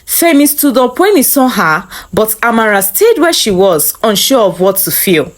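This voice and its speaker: A woman narrates a scripted dramatic story. Her voice sits fairly high and moves through a very animated range of pitch as she tells it. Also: moderate pace.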